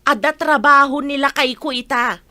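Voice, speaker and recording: A woman's voice: high voice, normal speed, pushed and bright, no room sound. A woman playing a scolding, evasive village wife with an Ilocano accent in a comedy radio drama. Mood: irritated.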